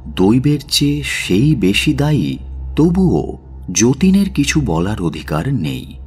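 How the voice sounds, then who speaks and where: A man narrating a literary audio story in a measured, dramatic style. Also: fairly low pitch, a little mellow, husky.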